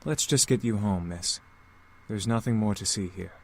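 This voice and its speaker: A man's voice: fairly low pitch, moderate pace, a little mellow. A man voicing a composed, protective male incubus in a visual novel game, formal and polite. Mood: calm, polite.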